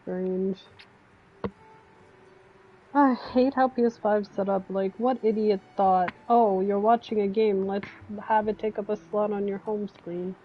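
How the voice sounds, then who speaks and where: A woman's voice: medium pitch, steady tone, unhurried, a little hiss or hum. A woman chatting casually and grumbling sarcastically while playing a video game with her brother. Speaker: gaming streamer. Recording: dull lo-fi stream audio.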